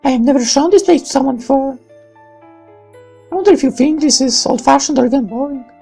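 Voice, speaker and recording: A man's voice: extremely high pitch, brisk, creaky, warm tone, faint music behind, pitched above the performer's own voice. A man voicing a sweet, playful young girl while reading a visual novel aloud. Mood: sweet, shy.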